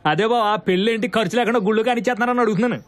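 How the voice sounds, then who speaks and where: A man's voice: high-pitched, racing, lightly acted. A man playing a garrulous, fussy, grumbling wedding guest in a film comedy. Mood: indignant. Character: nosy, chattering, grumbling wedding guest from groom's side.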